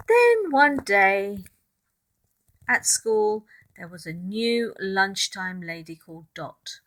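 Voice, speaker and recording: Woman storyteller reading slowly and expressively to children. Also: mid-range voice, low-quality recording. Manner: addresses young listeners, points out pictures, asks questions. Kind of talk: expressive read-aloud narration for children with asides.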